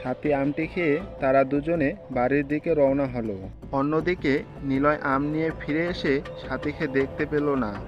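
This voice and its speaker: A man's voice: fairly high pitch, steady tone, moderate pace, dull audio. A man narrating a scripted cartoon story, with music playing behind his voice.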